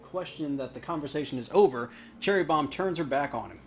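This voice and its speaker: A man with a somewhat high voice gives casual gaming commentary. He is joking and irreverent as he reads along, with clear noise behind him. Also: lively intonation, a little fast. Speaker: let's play co-host. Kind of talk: casual gaming commentary and read-aloud game text.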